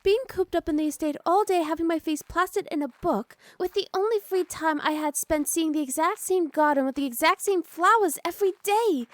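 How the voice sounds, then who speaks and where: A woman's voice: very high-pitched, a little fast, hardly any pauses, dry recording. A woman playing a doting, teasing young noblewoman wife in an intimate ASMR roleplay, sweet and coaxing. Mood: indignant pleading. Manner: sweet, coaxing, playfully scolding.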